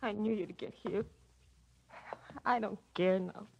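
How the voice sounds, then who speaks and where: A woman's voice: medium pitch, takes it slowly, creaky, highs cut off, faint music behind. An American woman acting a headstrong, fatalistic young gangster's girl in a 1940s radio drama, intense and defiant. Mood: numb, hopeless. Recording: old radio recording.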